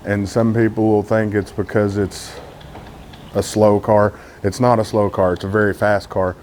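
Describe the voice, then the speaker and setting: A man's voice: on the low side, level tone, normal speed, a little mellow, a little hiss or hum. A man from Texas with a Texan accent, talking casually to camera as a car vlogger, candid and rambling with lots of "uh" fillers.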